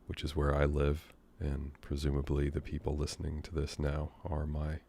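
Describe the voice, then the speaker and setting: An American man guiding a meditation in a gentle, unhurried, unscripted way, leaving long pauses between phrases. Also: extremely low pitch, completely flat delivery, vocal fry, slight background noise. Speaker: meditation guide. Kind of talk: guided meditation, soothing and unscripted.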